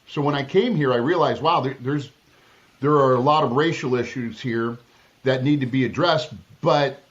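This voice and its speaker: Blunt, emphatic man, slightly muffled. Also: medium pitch. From Southern California. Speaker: political candidate. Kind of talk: interview answers.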